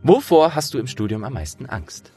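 A man's voice: mid-range voice, sing-song, normal speed, mellow, breathy edge, no echo, faint music behind. A young man from the Rhineland in Germany, an actor hosting a casual interview, explaining things at length in a searching way.

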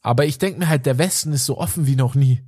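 A man co-hosting a casual podcast, talking in a rambling, animated way and searching for words as he goes. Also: medium pitch, fast. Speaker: podcast co-host. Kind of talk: casual chat.

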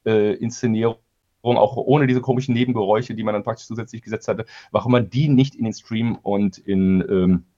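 A man giving halting, opinionated explanations as a guest in a casual interview. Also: on the low side, steady tone, warm tone. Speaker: audio drama producer being interviewed. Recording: slightly dull, band-limited audio.

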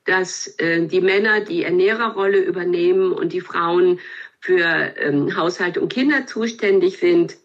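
Husky older woman, measured explainer. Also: fairly low pitch, highs cut off. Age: 70s. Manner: thoughtful, measured, with frequent hesitations. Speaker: guest expert. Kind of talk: interview answer, explanatory.

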